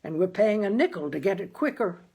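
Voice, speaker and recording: A woman voicing a gloomy, plainspoken working-class father in a storybook, with folksy grammar and a deliberate, emphatic delivery. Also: mid-range voice, big pitch swings, warm tone, slightly rough. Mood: grumbling. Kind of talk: dramatized audiobook character.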